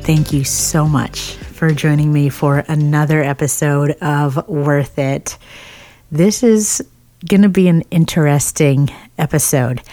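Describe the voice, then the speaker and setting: Low, slow-talking woman podcast host. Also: no echo. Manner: earnest, encouraging, addresses listener as friend; scripted upbeat intro, then relaxed musing talk. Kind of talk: faith-based podcast talk.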